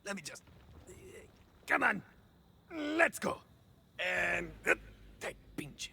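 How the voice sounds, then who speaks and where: A man's voice: high voice, big pitch swings, slow pace, vocal fry, sharp tone, husky, full character voice. An actor playing a cocky, rambling cyborg ex-soldier in a video game, with a Mexican-American accent and bits of Spanish mixed into his hesitant, theatrical talk. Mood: flustered. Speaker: playable game character. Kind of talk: video game idle monologue acting.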